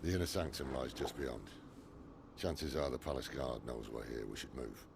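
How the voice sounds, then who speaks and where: A man playing a wry, world-weary middle-aged outlaw leader in a fantasy video game, with a gravelly voice and dry understatement. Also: moderate pace, background noise. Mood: wary, low. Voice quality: very deep gravelly, creaky. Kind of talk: video game cutscene acting.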